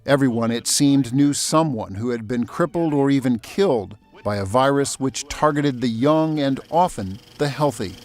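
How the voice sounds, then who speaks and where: Brief: male narrator, mid pitch, measured read, faint music underneath. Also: a little mellow. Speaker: documentary narrator. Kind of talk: scripted documentary narration.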